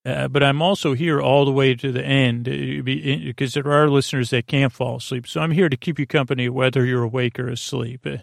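An American man with a creaky voice hosting a sleep podcast, rambling haltingly through a bedtime monologue full of tangents and repetitions. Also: medium pitch, fairly even intonation, no echo, studio quality. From United States. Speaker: podcast host.

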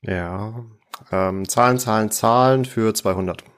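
Male podcast quiz contestant, low voice, informal conversational delivery. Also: measured pace. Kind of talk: casual chat.

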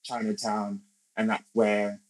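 A man's voice: on the low side, completely flat delivery, slow, rough recording. A young man from Australia, a podcast guest speaking reflectively in casual interview talk with many fillers.

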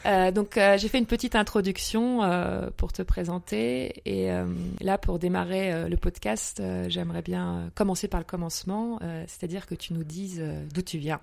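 A woman's voice: fairly low pitch, fairly even intonation, normal speed, gravelly, few pauses, darkish tone. A woman hosting a podcast, reading a scripted intro and then interviewing with many hesitant fillers. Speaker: podcast host.

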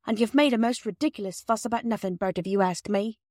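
A woman's voice: medium pitch, quick pace, a little mellow, no room sound, clean studio sound, pitched above the performer's own voice, lightly acted. A woman voicing a firm, scolding working-class wife with blunt dialect speech in a story reading. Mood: scolding, fond.

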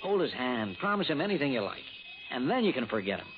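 Insurance agent, played by a man: exasperated American male, quick and very animated, emphatic, muffled old radio sound. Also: medium pitch, noisy background, lightly acted. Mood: urgent, coaxing. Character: exasperated, dismissive male insurance agent, fretting over premiums. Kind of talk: 1960s radio drama acting.

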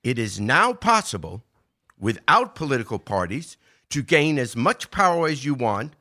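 A man's voice: medium pitch, big pitch swings, normal speed, bright, forceful tone. An older American man giving conversational political commentary, explaining step by step with emphasis and rhetorical questions.

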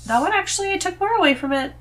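A woman's voice: very high voice, animated, very fast, a bit bright, slight background noise. A woman speaking as a podcast guest in casual chat, with a frank, opinionated manner. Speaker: podcast guest, host's wife.

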